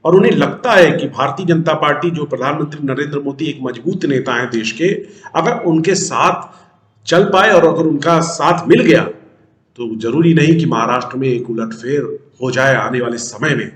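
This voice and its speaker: A man's voice: fairly high pitch, normal speed. A man hosting a news show, giving emphatic political commentary to viewers and posing rhetorical questions that he answers himself. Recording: roomy, echoing sound.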